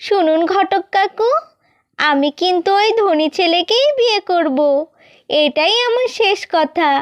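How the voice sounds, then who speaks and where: A woman's voice: very high voice, a little slow, dry recording. A woman voicing a greedy, vain younger sister in a cartoon, bossy and brazen. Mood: brazen, selfish. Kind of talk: Bengali cartoon fairy-tale acting.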